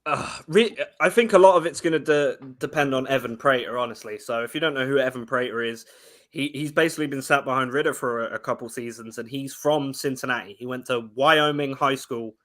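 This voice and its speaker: Animated young Englishman talking football. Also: mid-range voice. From England. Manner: fast, animated football breakdown with frequent restarts. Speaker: guest analyst. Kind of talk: casual sports chat.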